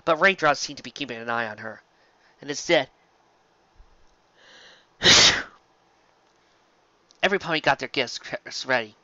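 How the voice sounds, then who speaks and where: A narrator reading a scripted story aloud in an animated storytelling style, on a slightly dull home recording. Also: mid-range voice, measured pace, long pauses, no room sound.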